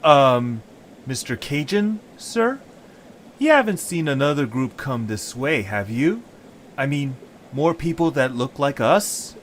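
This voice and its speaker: A man's voice: mid-range voice, slow, a little hiss or hum. A man voicing a young creature hero in an audio story, casual, reasoning and a little sardonic. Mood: friendly, upbeat. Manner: casual, expressive.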